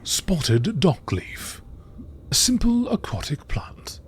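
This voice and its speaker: A man reading scripted nature documentary narration aloud in a measured way. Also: fairly high pitch, wildly swooping pitch, breathy edge.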